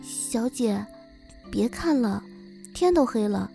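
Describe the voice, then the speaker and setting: A woman's voice: fairly high pitch, expressive, slow, creaky, mellow, faint music behind, heavily acted. A woman playing a caring, plain-spoken young maidservant in a Chinese period audio drama. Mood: concerned.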